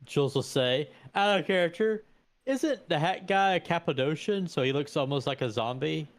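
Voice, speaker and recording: A man's voice: a little high, expressive, normal speed. A man talking casually as a player in a tabletop role-playing game.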